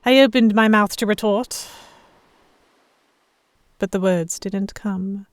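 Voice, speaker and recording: Audio drama heroine, performed by a woman: delicate noblewoman telling her story, emotive, medium pitch, very dry recording. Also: slow, no echo, natural voice. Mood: conflicted. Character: delicate young noblewoman, vexed, earnest, stubborn romantic heroine. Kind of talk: first-person dramatic audiobook narration.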